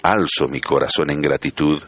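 A man giving a solemn, measured sermon as a church leader. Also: extremely low pitch, steady tone, a bit bright. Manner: measured, solemn devotional delivery.

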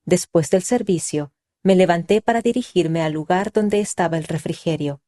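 A woman reading a first-person story aloud in a calm, measured, reflective way. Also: on the low side. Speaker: reader. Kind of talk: scripted first-person narration.

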